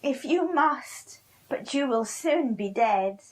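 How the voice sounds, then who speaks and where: A woman voicing a cruel old witch who threatens in a high, shrill, drawn-out way. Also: expressive. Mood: cold.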